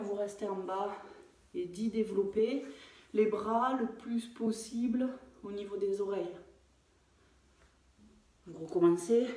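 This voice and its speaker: A French fitness coach talking through a home workout, with many hesitations and fillers. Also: female voice, medium pitch, dark tone. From France. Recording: echoey room, voice far from mic.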